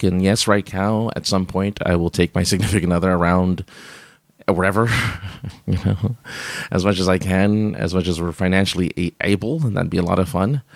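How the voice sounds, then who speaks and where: A man's voice: deep voice, fairly even intonation, fairly quick, gravelly, no room sound, clean studio sound. A man hosting a live stream, chatting casually and reading viewer comments, rambling with many fillers and restarts and laughing often.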